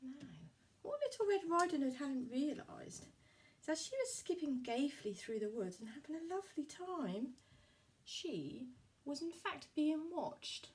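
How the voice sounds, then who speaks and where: A woman storyteller narrates for young children. Her voice is fairly high and animated, with plenty of rise and fall. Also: measured pace, recorded from a distance.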